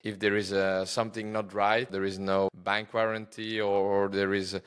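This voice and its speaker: A man's voice: lowish voice, flat delivery, moderate pace, fairly bright tone, clean tone. A man from Belgium with a Belgian Dutch accent, hosting a podcast and chatting with hesitant, non-native phrasing. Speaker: podcast host. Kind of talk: podcast interview, casual chat.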